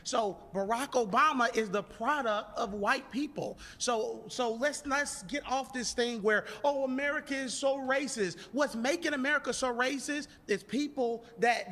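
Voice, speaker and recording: Emphatic high-voiced man in his thirties. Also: a bit bright, big-room sound. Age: 30s. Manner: emphatic, insistent, pushes back hard. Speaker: debate guest. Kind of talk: heated political debate.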